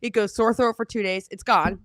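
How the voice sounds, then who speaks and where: Congested woman co-host, animated casual storyteller, dry sound. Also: mid-range voice, fairly quick, no room sound. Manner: chatty storytelling, animated retelling. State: congested, losing her voice. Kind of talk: casual chat. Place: at home in Seattle.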